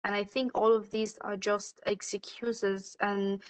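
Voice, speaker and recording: Sudanese woman panelist, Arabic native, steady monotone, halting delivery. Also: medium pitch, poor audio. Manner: hesitant, many fillers and restarts. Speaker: youth climate activist, panelist. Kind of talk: panel discussion.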